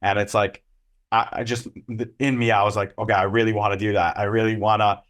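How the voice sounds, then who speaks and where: Young male guest, low-pitched, loose and rambling with lots of fillers. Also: level tone, brisk, a bit bright. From Israel. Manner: loose and rambling, many ums and restarts. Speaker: agency co-founder and CEO. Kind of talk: casual interview answers.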